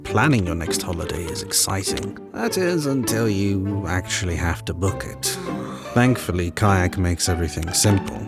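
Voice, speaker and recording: A man performing a polished low-pitched travel advert announcer. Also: expressive, moderate pace, loud music behind the voice. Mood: upbeat, smooth. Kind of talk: scripted commercial voice-over.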